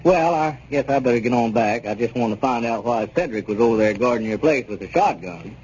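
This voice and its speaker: A sensible, reassuring small-town neighbor played by a man speaks plainly with lively swings of pitch. It is genial 1930s radio comedy acting, captured on a dull, faintly noisy old recording. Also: fairly quick, close to an everyday voice. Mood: friendly.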